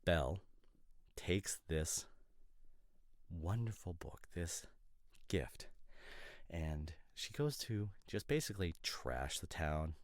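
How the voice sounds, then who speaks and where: A nitpicking boyfriend from an audio drama, acted by a man, speaks in an extremely deep voice. He argues casually and pedantically, with exasperated, halting asides. The sound is dry and close. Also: no room sound, full character voice. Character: opinionated, nitpicking boyfriend, a professional costume designer.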